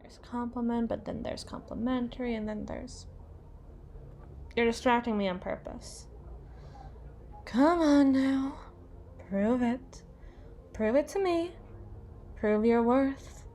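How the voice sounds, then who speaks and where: A woman's voice: fairly high pitch, mellow, slight background noise. A woman playing a tipsy, haughty, melodramatic female boss in a flirtatious ASMR roleplay, theatrical and given to playful wordplay. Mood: challenging, flirty. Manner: theatrical, drawn-out, playful wordplay, slipping into breathy whispers. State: acting tipsy.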